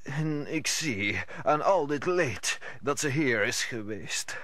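An actor playing a proud, measured English lord in an old Dutch radio play. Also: male voice, mid-range voice, lively intonation, sharp tone, no room sound. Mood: grave, authoritative.

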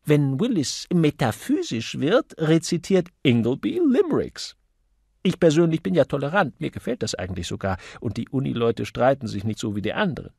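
A man voicing a gossipy, opinionated young female typist in an audiobook, with lively, emphatic delivery. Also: medium pitch, moderate pace, creaky, husky, no echo, clean studio sound, voice pushed up, full character voice. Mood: indignant gossip. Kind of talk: audiobook character voice.

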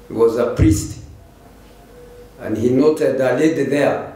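An older man with a Kenyan accent, a pastor preaching a sermon slowly and deliberately into a pulpit microphone in a large church. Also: mid-range voice, warm tone. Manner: slow, deliberate preaching with repeated phrases. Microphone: stand mic at the pulpit. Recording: very echoey church hall.

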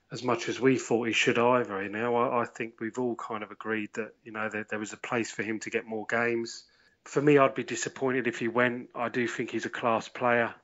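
A man giving measured opinions as a panellist in a casual sports discussion. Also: medium pitch, a bit bright, highs cut off. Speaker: podcast panellist.

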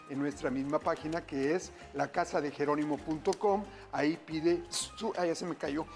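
A middle-aged man hosting a TV cooking show, chatting with viewers as he cooks in a studio kitchen. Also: fairly high pitch. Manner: upbeat, chatty, keeps calling viewers "familia". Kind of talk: cooking demonstration, addressing viewers.

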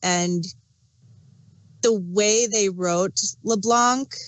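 A woman's voice: medium pitch, very slow, dry recording. A woman speaking as a panelist in a casual review discussion.

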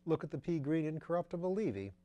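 A man doing a flippant young aristocrat, airy and high. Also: sing-song, fast talker, mellow, lo-fi sound. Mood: breezy. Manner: lilting, airy, upper-class banter.

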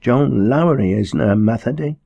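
A man's voice: on the low side, unhurried, gravelly, mellow, breathy edge, no echo, highs cut off, voice pushed up, exaggerated voice. A man voicing a frightened, weak young working-class mother in an audiobook, faltering and aggrieved in a Lancashire accent. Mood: aggrieved, whimpering. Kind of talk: audiobook character dialogue.

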